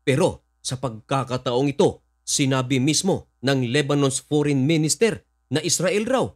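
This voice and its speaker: A man's voice: medium pitch, expressive, moderate pace. A man with a Filipino accent reading scripted news commentary, explaining events and addressing listeners directly.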